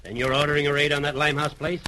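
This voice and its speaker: An actor playing a keen British police detective reporting deferentially in an old radio drama. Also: male voice, fairly high pitch, flat delivery, very fast, pushed and bright. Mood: businesslike. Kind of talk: 1930s-40s radio drama acting. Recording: noisy, clicking vintage radio recording.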